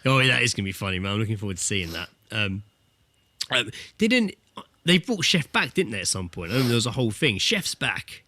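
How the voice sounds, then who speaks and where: A man's voice: on the low side, very animated, fast talker, sharp tone, no room sound. A British man co-hosting a podcast in casual chat, with blokey, sweary banter. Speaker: podcast co-host. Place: recorded remotely over an internet call.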